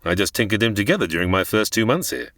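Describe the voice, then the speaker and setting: A pompous, reclusive man of science, played by an actor, speaking formally with theatrical swoops. The mid-pitched voice is recorded close and dry for a sci-fi radio parody. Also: lively intonation, quick pace, creaky, fairly bright tone, no echo, heavily acted. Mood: smooth, dismissive. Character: learned, condescending reclusive male scientist on remote planet. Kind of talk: audio drama parody of 1950s sci-fi film.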